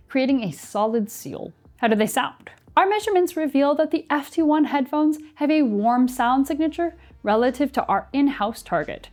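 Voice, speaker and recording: High-pitched young woman presenting a review. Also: darkish tone. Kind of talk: scripted review narration. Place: small indoor studio set. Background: music.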